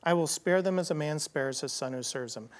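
A man co-hosting a Bible prophecy TV program, teaching in a conversational, animated way and voicing quoted people casually. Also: fairly high pitch, fairly quick.

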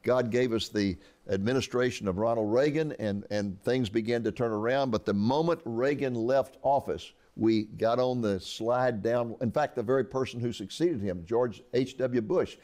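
A man's voice: mid-range voice, normal speed, few pauses, a little mellow. A man in his eighties, an author interviewed about his book, speaking earnestly and often restarting his words. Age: 80s. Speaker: author guest. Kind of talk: conversational interview.

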